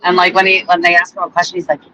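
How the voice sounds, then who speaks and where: A man's voice: high-pitched, completely flat delivery, racing, sharp tone, dull audio. A man co-hosting a casual live chat, talking loosely, hedging, and saying "like" a lot. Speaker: co-host commenting on trial.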